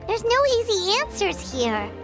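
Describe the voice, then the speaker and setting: A woman voicing a small, childlike, excitable fairy companion in a video game, squeaky and expressive, chatty and full of questions. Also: a little slow, full character voice. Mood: thoughtful. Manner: expressive, exclaiming, talks of self in third person. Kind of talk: video game character dialogue. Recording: loud music behind voice.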